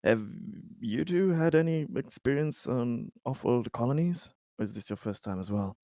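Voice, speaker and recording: A man playing a tabletop role-playing game, improvising in-character dialogue in a friendly, halting way. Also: mid-range voice, lively intonation, mellow, no room sound, studio quality.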